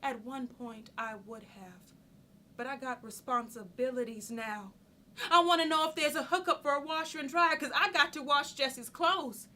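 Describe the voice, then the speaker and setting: A woman's voice: sits high, normal speed, sharp tone. An actress playing a practical, frustrated young mother confronting her partner in a stage monologue, insistent, colloquial and questioning. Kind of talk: theatrical monologue.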